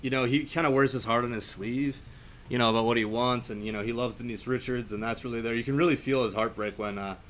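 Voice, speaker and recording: A man talking casually and enthusiastically in a film discussion, in a halting, rambling way. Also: medium pitch, fairly even intonation, fairly bright tone, a little hiss or hum. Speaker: podcast panelist.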